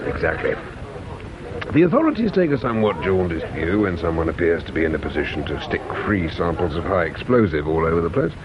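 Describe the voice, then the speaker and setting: An actor playing a shrewd, dry-witted English police inspector in a radio detective drama, asking formal, wry, probing questions. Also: male voice, sits low, very animated, brisk. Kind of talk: old-time radio detective drama acting. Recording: muffled, noisy old radio drama recording.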